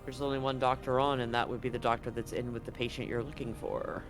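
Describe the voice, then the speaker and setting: A man improvising descriptive narration as game master of a tabletop horror game, in a halting, descriptive way. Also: mid-range voice, steady tone, music in the background.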